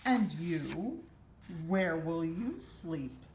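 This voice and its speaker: A woman voicing a patient mother in a children's storybook, putting gently probing questions. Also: low-pitched, very animated, extremely slow, exaggerated voice. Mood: gently doubting. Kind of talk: children's storybook read-aloud. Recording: roomy echo, faint background noise.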